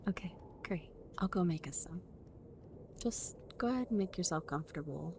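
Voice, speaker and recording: A woman playing an affectionate, playfully teasing young friend in an ASMR roleplay, speaking in a soft, breathy, often whispered voice with a gentle, intimate manner. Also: lowish voice, lively intonation, normal speed, slight background noise. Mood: cozy, caring.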